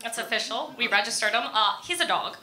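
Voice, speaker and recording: A woman's voice: fairly high pitch, lively intonation, fairly quick, bright, forceful tone. An American woman doing a self-deprecating stand-up set in a casual storytelling way, often giggling as she goes. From Florida, United States. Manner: giggles often through own delivery. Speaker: stand-up comedian. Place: indoor comedy club stage. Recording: echoey room, live comedy club.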